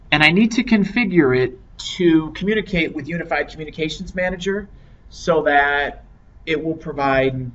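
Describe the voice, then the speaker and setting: A man giving a measured, step-by-step software tutorial as an instructor. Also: fairly high pitch. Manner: measured, explanatory step-by-step delivery. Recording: dull lo-fi microphone with clear noise.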